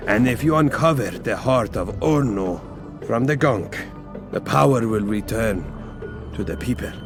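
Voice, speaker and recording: An actor playing an old, grave advisor to a villain king in an audio drama, speaking in a measured, ominous, deferential way. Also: mid-range voice, lively intonation, over music. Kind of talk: scripted audio drama acting.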